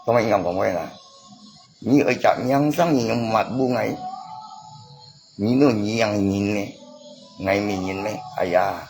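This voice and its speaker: A man's voice: lowish voice, slow pace, pauses often, darkish tone. A man chatting casually on a livestream, in an agitated, argumentative way, often repeating himself. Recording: echoey reverberant space, music behind.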